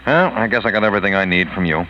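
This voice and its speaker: A man's voice: low-pitched, expressive, very fast, pushed and bright. A man playing a terse, probing police detective in a 1940s radio drama, with clipped, businesslike questioning. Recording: muffled vintage radio, faint noise.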